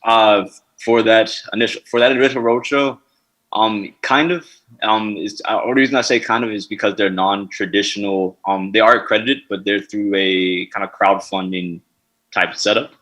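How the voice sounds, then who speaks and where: A man, a startup founder, answering investor questions in a halting way with many fillers and restarts. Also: on the low side, steady tone, a bit bright, rough recording.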